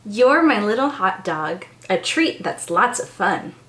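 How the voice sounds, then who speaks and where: American lady doing kids storytime, super sing-song and bubbly, slow pace, echoey room. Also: mid-range voice, big pitch swings, a bit bright. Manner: bubbly, enthusiastic, exaggerated child-directed delivery. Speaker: children's storytime teacher and host. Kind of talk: read-aloud storytime for young children.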